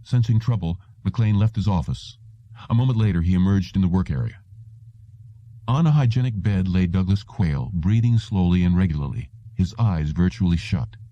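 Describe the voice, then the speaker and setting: A man's voice: deep voice, lively intonation, normal speed, dark tone, no room sound. A man narrating an audiobook in a scripted storytelling style, with dull, muffled audio.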